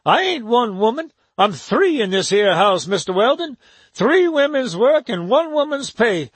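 A man's voice: extremely high pitch, very animated, measured pace, husky, dry recording, voice pushed up, exaggerated voice. A man voicing an elderly woman servant who speaks in rural dialect, plain-spoken and emotional. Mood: complaining. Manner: rural dialect grammar, wailing.

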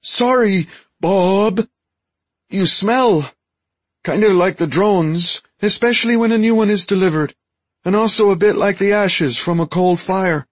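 A man voicing an excitable, frank young furry alien in a squeaky, eager voice for an audiobook story. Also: measured pace, no room sound. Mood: frank, apologetic. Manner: eager, blunt, simply worded.

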